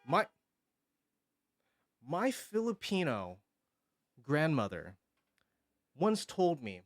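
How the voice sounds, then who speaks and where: A man's voice: medium pitch, no echo, clean studio sound. A man streaming casually, thanking viewers and joking around. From Hawaii. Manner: goofy, joking, slips into singing.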